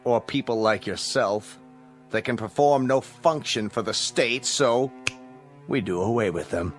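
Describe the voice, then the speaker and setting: Casting: male actor for cruel, smug totalitarian chancellor, radio play; formal, menacing courtesy, average male pitch, light music underneath. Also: a little slow, close to an everyday voice. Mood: smug, doctrinaire. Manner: formal, condescending, mockingly polite, menacing. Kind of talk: radio drama acting.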